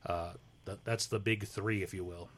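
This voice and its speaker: A man's voice: sits low, steady tone, moderate pace, a bit bright. A man co-hosting a live radio show, explaining and teasing with a laugh.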